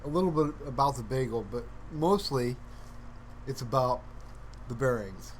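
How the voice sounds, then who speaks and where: A man's voice: mid-range voice, normal speed, slight background noise. A man casually rambling to livestream viewers in a playful, mock-earnest, musing way. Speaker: livestreamer hosting his own stream.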